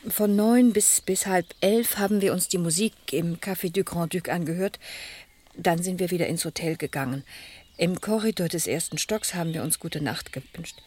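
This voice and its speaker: An actress playing a shaken, lonely, genteel older widow in a radio crime drama, husky and breathy, hesitant and grave. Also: female voice, fairly low pitch, moderate pace, darkish tone. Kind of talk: German radio crime drama acting and narration.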